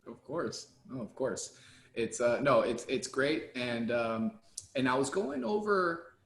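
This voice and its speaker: A man's voice: mid-range voice, expressive, takes it slowly, a bit bright. A man co-hosting an interview, praising his guest effusively and leaning on fillers. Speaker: podcast co-host. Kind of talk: casual interview. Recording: echoey room.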